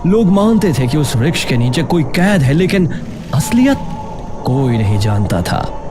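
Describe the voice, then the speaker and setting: Male narrator for a children's cartoon tale, very lively intonation, slow dramatic delivery. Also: mid-range voice. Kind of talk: dramatic scripted storytelling narration. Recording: music playing behind the voice. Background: clear background music.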